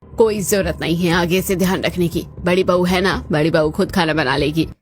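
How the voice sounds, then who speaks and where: A woman playing a grasping, complaining older mother-in-law in a cartoon family drama, with a sarcastic, drawn-out delivery. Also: fairly low pitch, fairly even intonation, soft background music, natural voice. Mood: disdainful.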